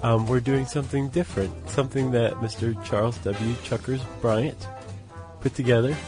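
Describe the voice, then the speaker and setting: A man's voice: mid-range voice, fairly even intonation, moderate pace, music in the background. An American man co-hosting a podcast, chatting casually with wry, conversational comments and prompts. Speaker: podcast co-host.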